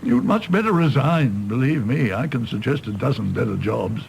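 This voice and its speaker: An actor playing a world-weary, cynical British doctor in a radio play, musing wryly and theatrically. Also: male voice, medium pitch, animated, moderate pace, gravelly, darkish tone, a little hiss or hum. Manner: wry, rambling, theatrical musing. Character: cynical, world-weary doctor, a fallen idealist, teasing and philosophical.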